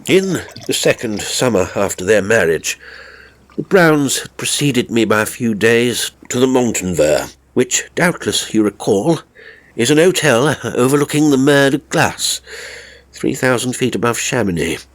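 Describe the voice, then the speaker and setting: A man's voice: mid-range voice, lively intonation, measured pace. A man reading a story as a dramatic narrator, with a theatrical, wry raconteur's delivery.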